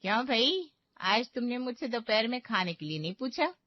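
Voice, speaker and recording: A woman's voice: on the high side, big pitch swings, moderate pace, no echo. Sarcastic, melodramatic mother-in-law for a cartoon, acted by a woman, middle-aged, dry muffled sound. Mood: indignant. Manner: taunting, melodramatic. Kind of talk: cartoon moral story acting.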